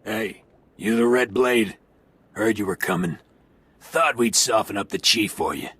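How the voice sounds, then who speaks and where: A callous thug in a video game. The voice is lowish, husky and dry, with a curt, offhand manner. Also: male voice, measured pace, a bit bright, no echo. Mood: callous, taunting. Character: callous male gang thug.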